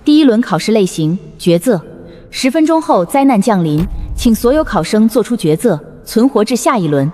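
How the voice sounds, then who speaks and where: A woman playing an impersonal exam system announcer, speaking formally in scripted animated drama. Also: fairly high pitch, normal speed. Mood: solemn, impersonal. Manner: formal, declarative. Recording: long hall reverb.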